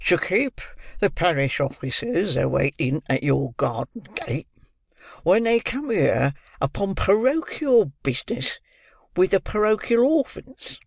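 A man doing a pompous, gravelly beadle. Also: sits high, very animated, measured pace, dry recording, clean studio sound, pitched above the performer's own voice, full character voice. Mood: indignant. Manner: pompous, lecturing, self-important. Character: pompous, choleric, fat middle-aged male beadle.

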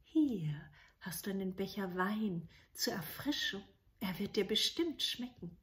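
A woman's voice: medium pitch, animated, measured pace, lightly acted. A woman voicing a haughty eldest princess in a fairy tale, scornful and falsely sweet.

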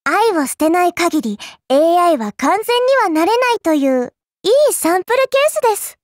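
A woman's voice: very high-pitched, sing-song, normal speed, no echo, clean studio sound. A woman voicing a small AI servant girl in an anime mobile game, sweet and polite with a prim, smug edge. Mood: smug, appraising.

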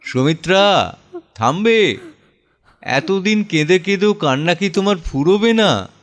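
A man playing an anguished, weary father in an audio drama monologue, speaking theatrically with heavy pauses. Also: high voice, expressive. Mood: exasperated, pleading.

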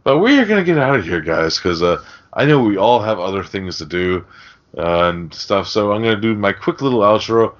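Podcast host, male, deep voice, informal and chummy, slightly muffled recording. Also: very animated, brisk. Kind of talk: casual podcast chat.

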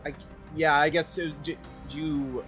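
Male player, slightly high voice, slow hesitant casual delivery, dull lo-fi recording. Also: steady tone, a bit bright. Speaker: tabletop game player. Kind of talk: casual game chat. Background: music.

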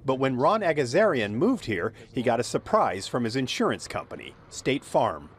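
An older man reporting a local news story in a measured narration. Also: medium pitch, slight background noise. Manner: measured, lively reporting delivery. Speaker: field reporter.

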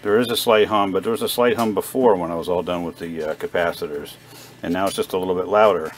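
A man giving a casual, unscripted narration at a workbench, plain-spoken and halting as he thinks aloud like a hobbyist repair vlogger. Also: low voice, fairly even intonation. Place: indoor home workbench. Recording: clear background noise, workshop ambience.

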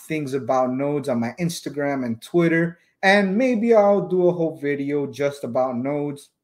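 A man's voice: medium pitch, a little mellow. A young man vlogging from a small room at his computer, reading crypto news aloud and chatting casually to his viewers. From United States. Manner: casual, chatty, addresses viewers as you guys.

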